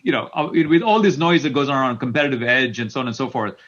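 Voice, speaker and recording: A man's voice: medium pitch, fast, a bit bright, highs cut off. A middle-aged man from Kenya answering as an interview guest, repeating words as he thinks aloud. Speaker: tech company CEO, interview guest.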